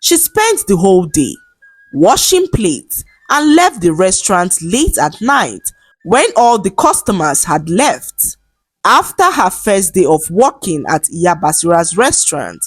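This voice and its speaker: A woman's voice: mid-range voice, measured pace, slightly rough, no room sound. Female folktale narrator. Dramatic, very melodic delivery, dry close sound over faint music. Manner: expressive, dramatic storytelling delivery. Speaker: storyteller.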